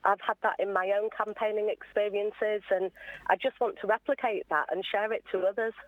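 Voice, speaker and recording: A woman's voice: medium pitch, steady tone, fairly quick, dry recording, phone-quality sound. A woman being interviewed on radio as an awards founder, explaining things earnestly in a conversational way.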